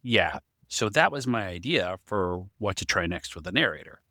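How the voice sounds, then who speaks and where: A man's voice: sits low, animated, moderate pace, sharp tone, no echo, studio quality. A man explaining things conversationally as a podcast co-host.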